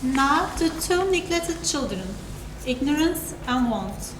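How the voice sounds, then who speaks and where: A woman's voice: high-pitched, lively intonation, takes it slowly. A young woman narrating a classic story in a dramatic literary reading. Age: young adult. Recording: echoey room, clear background noise.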